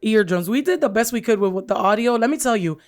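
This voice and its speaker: Loud, pronounced-voiced woman co-hosting a podcast. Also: on the high side, expressive, quick pace. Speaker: podcast co-host. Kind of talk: casual chat.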